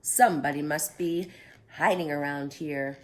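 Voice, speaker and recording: A woman voicing a night watchman, a grown man who speaks loudly and exclaims in surprise. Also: low voice, fairly even intonation, a little slow, slight background noise. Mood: exclaiming. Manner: loud, exclaiming.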